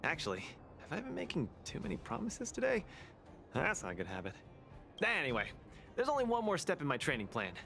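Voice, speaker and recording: A hyped young brawler. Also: male voice, on the high side, huge pitch swings, fairly quick, vocal fry, sharp tone, slight background noise, exaggerated voice. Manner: boastful, easygoing, laughs things off. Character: cheerful, hyped young male underworld brawler. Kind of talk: video game dialogue.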